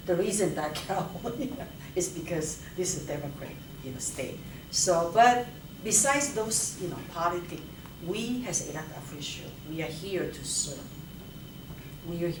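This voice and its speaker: Woman official, halting speech, fairly low voice, noisy room, distant mic. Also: reverberant. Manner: halting, frequent "you know". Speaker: elected official. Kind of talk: interview answers.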